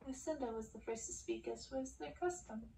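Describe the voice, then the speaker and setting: A woman's voice: on the high side, a little fast, gravelly, warm tone. A woman reading a story aloud in an ASMR narration style. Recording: roomy, distant, faint background noise.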